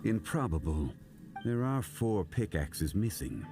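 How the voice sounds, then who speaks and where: A man playing a composed, learned gentleman in a video game, speaking in a measured, formal, deliberate way. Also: fairly low pitch, sing-song, over music, exaggerated voice. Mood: analytical.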